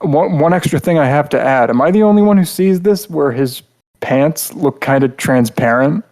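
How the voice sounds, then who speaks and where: A man's voice: a little high, lively intonation, normal speed, darkish tone. The guy on a hobby podcast who talks in loose, sweary loops while giving his review. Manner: rambling, frequent swearing. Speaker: podcast co-host reviewing game characters. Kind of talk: casual chat.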